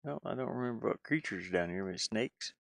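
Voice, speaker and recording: Male game dev streamer, gravelly, very dry close sound, hesitant off-the-cuff commentary. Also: fairly low pitch, animated, fairly quick, no room sound. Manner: rambling, musing, hesitant. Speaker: game developer live streamer. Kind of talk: casual live stream commentary, thinking aloud.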